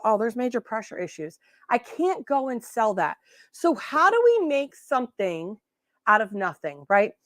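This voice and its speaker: Hoarse woman hosting a crafting live. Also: fairly high pitch, no echo, studio quality. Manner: sassy, chatty, self-correcting. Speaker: host. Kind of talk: casual livestream crafting tutorial.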